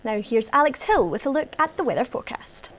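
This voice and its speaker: A woman's voice: high-pitched, big pitch swings. A Scottish woman in her thirties reading scripted news formally as a studio presenter. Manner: formal, measured delivery. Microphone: lapel mic.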